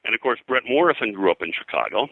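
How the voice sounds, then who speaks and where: An older man being interviewed as a historian, telling anecdotes in a halting, rambling way and often clearing his throat. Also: medium pitch, lively intonation, sharp tone, no echo, low-quality recording. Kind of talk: anecdotal interview talk about old-time radio and pulps.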